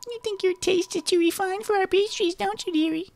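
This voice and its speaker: Very high-pitched sly spider lady. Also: a little fast, a little mellow, no echo. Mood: sweetly menacing. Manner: mock-sweet, taunting, drawn out. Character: sly, teasing, dainty female spider monster baker. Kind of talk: voicing video game dialogue.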